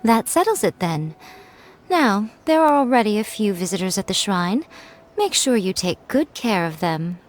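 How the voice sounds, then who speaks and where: A woman's voice: medium pitch, very animated, moderate pace, a little hiss or hum, close to an everyday voice. A woman playing a sly, elegant shrine priestess in a video game, coy, teasing and sure of herself. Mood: playful. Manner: coy, teasing, self-assured. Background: music.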